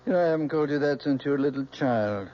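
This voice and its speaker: An actor playing a gentle, persuasive middle-aged father, a professor, in a tender and measured radio drama style. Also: medium pitch, lightly acted. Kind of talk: 1970s radio drama acting. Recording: dull old radio broadcast, faint hum.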